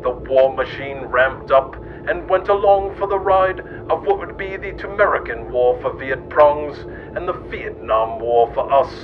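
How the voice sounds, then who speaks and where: A man's voice: lively intonation, measured pace, few pauses. A man reading absurd, scripted mock-history narration. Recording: muffled lo-fi audio with loud rumbling noise.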